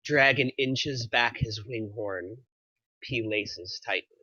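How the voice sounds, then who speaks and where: A man's voice: medium pitch, slow, pushed and bright, slightly rough, no room sound, dull audio. A man narrating, reading screenplay action lines aloud at a table read.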